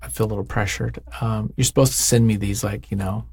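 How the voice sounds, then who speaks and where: A man's voice: lowish voice, steady tone, quick pace, vocal fry, darkish tone, breathy edge, dry recording. A man speaking as an interview guest in casual, unscripted chat, effusive and emphatic, often thinking aloud.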